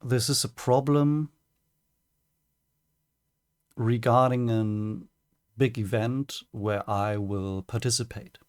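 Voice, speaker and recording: A man with a German accent and German as his first language, giving a prepared personal statement as a streamer, careful and hesitant with many pauses and restarts. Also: mid-range voice, steady tone, clear, resonant tone, no room sound, studio quality.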